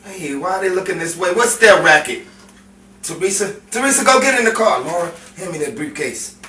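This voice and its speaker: In a roomy, distant recording, a man acts out a menacing gangster boyfriend from a 1950s crime drama. His voice is forceful and fairly high, with slangy tough-guy swagger and a quick temper. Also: animated. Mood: brisk, commanding. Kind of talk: 1950s crime melodrama acting. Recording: roomy, distant mic, faint noise.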